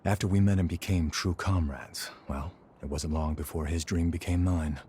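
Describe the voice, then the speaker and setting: An actor playing a seasoned male warrior and loyal mentor in a video game, speaking in a measured, earnest, reflective way. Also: sits low, fairly even intonation, a little mellow, a little hiss or hum, natural voice. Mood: reflective, wistful. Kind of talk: video game dramatic dialogue.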